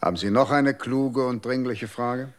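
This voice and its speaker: A man's voice: medium pitch, normal speed, natural voice. A man playing a cold, condescending official. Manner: calm, dismissive, lecturing. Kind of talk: radio drama acting.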